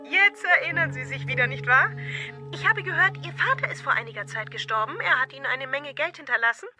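A woman's voice: high voice, lively intonation, fairly quick, sharp tone, soft background music, full character voice. An actress playing a menacing, mocking, unhinged woman caller in a German radio thriller, theatrical and gloating. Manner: taunting, theatrical.

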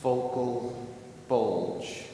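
A man moderating a medical panel, briskly calling on questions from the floor. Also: mid-range voice, dark tone. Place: large conference ballroom. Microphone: podium microphone. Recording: far-off echoey hall, faint noise.

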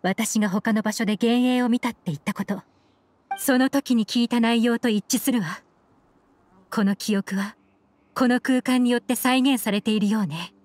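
A woman's voice: a little high, moderate pace, darkish tone, a little hiss or hum, lightly acted. A woman playing a cool, confident, shrewd female operative in an anime game, poised and teasing, over background music. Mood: calm, thoughtful. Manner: poised, teasing, feminine phrasing.

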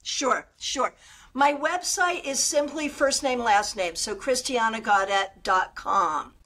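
An older woman with a loud, good speaking voice, chatting animatedly as a guest over a video call. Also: a little high, slow. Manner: animated, gestures a lot while talking. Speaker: tarot reader guest. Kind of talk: casual interview chat. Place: home room on a video call.

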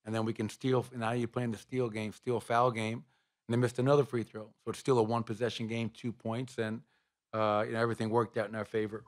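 Middle-aged man, basketball coach, low voice, flat delivery, postgame press room, table mic. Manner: measured, even answers with frequent fillers. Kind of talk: postgame press conference answers. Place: press room in front of a sponsor backdrop. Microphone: table microphone.

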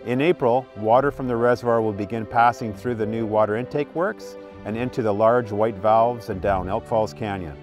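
A man's voice: fairly low pitch, normal speed, hardly any pauses. A middle-aged man, delivering scripted narration as a utility spokesman giving a project update. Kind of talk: scripted narration and on-site presenting. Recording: music behind the voice.

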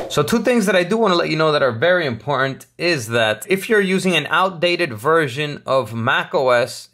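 Man patiently walking through a tech fix. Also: medium pitch. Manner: relaxed, patient, filler-heavy explaining. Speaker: tech tutorial host. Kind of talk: step-by-step how-to walkthrough.

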